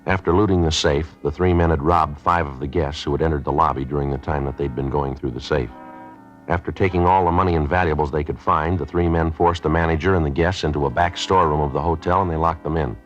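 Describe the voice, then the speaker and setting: A man with an American accent playing a terse, level-headed police sergeant in a 1950s radio drama, speaking in clipped, deadpan sentences. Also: a bass voice, fast talker, soft background music. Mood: matter-of-fact. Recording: dull, noisy old radio recording.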